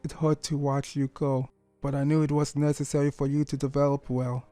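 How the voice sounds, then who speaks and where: A man voicing a gentle, loving middle-aged father pony in an audio story, speaking in an earnest, tender, measured way. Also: mid-range voice, little pitch movement, no echo, faint music behind, natural voice. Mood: regretful.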